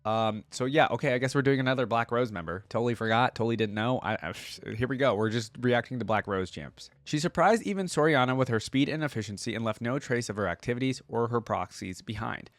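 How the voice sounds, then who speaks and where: A man's voice: mid-range voice, quick pace, few pauses, dry recording. A man hosting a reaction video, talking informally and thinking aloud, switching between casual commentary and reading aloud.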